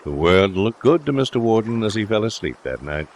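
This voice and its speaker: A man's voice: lowish voice, sing-song, brisk, a little hiss or hum. A man reading literary fiction aloud as an audiobook narrator, in a scripted storytelling style.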